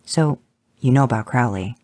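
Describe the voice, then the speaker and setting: A man's voice: medium pitch, normal speed, a little mellow, dry recording, close to an everyday voice. A man reading fan fiction, voicing a level-headed male hunter who gives firm, urgent instructions.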